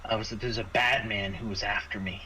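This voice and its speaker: A frightened teenager in hiding, acted by a man at a tabletop game. The kid speaks haltingly, repeating and stumbling over words in a hushed, low tone. Faint noise sits behind the voice. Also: steady tone, rough recording. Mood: scared, hesitant. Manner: halting, stammering.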